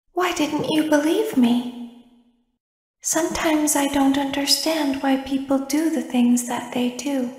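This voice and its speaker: An eerie animatronic girl from a horror game. The voice is high and very slow, calm yet pleading and softly insistent, in a roomy echo. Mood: wistful, hurt. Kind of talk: scripted video game voice acting. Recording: roomy, echoing game audio.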